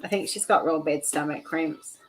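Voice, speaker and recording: A low-voiced woman chatting on a video call, full of restarts and "like"s as she tells stories. Her tone is relaxed and conversational. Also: moderate pace, slight background noise, low-quality recording. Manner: chatty, storytelling, frequent "like" and restarts. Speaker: livestream host. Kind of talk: casual chat.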